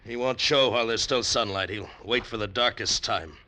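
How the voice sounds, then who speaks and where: A hard-bitten adventurer, deep-voiced and terse. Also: male voice, normal speed, bright, forceful tone. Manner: terse, clipped first-person narration. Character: hard-bitten male adventurer narrating his ordeal. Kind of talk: 1940s radio acting. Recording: old radio drama with music under it.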